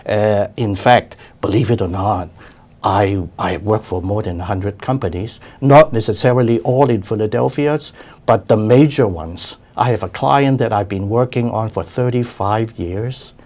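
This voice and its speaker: Older host from China, halting and chatty. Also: male voice, a little mellow. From China, raised in Hong Kong. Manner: repeats words and restarts, quick laughs, voice drops low when reflective. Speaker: show host, business consultant. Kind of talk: casual chat.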